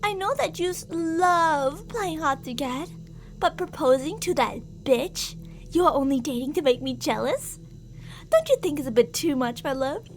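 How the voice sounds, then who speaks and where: Woman acting as a jealous yandere girl stalker, very high voice, sweetly menacing, theatrical, ASMR roleplay, humming background noise. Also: expressive, a little slow. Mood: disappointed, jealous anger. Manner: theatrical, cooing endearments that turn reproachful.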